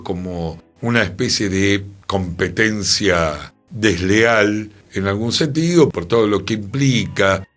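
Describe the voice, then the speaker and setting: A man, co-hosting a radio show with reflective commentary and repeating phrases for emphasis. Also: fairly low pitch. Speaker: radio co-host. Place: radio studio.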